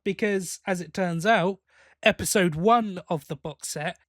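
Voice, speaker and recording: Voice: mid-range voice, unhurried, a bit bright, no echo. A podcast host chats casually through a review in a mid-range voice. The delivery is opinionated, leaning into emphatic complaint. The sound is dry and close.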